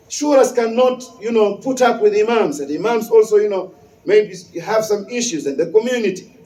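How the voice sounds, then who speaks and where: A man's voice: sits high, warm tone. A man, an imam delivering a Friday sermon in an emphatic, repetitive preaching manner. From West Africa. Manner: emphatic, repeats key phrases. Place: mosque prayer hall. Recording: echoey mosque hall, distant mic.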